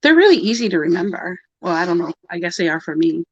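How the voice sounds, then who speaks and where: A woman's voice: lowish voice, very animated, fast, slightly rough, no echo. A woman co-hosting a pagan livestream, chatting casually and laughing often as she rambles through the topic. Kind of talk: casual group chat on a live video call. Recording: dull dry video-call audio.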